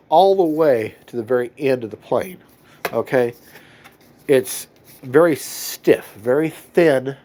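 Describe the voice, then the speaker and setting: A man's voice: mid-range voice, a little mellow. A man, nasal from a cold, giving a folksy, rambling review of a model plane at home. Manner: rambling, self-correcting, folksy. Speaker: RC hobby reviewer. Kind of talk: casual unboxing review. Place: home hobby room.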